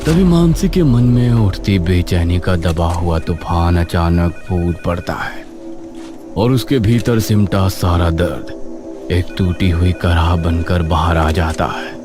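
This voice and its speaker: A man narrating a horror cartoon story in a steady, dramatic tone. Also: deep voice, slow, over music.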